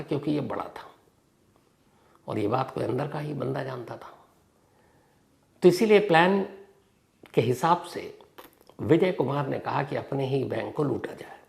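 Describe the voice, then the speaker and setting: A man hosting a crime storytelling show, speaking conversationally with dramatic pauses, strong stresses and phrase endings that drop away. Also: lively intonation, creaky, darkish tone. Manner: dramatic pauses and emphatic stresses, sentences trailing off low.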